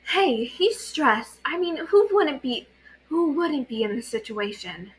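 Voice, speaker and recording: A woman's voice: high voice, animated, slow, voice pushed up, poor audio. A woman voicing a caring but exasperated teenage girl healer, bossy and emphatic, in an amateur story reading. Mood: concerned, insistent.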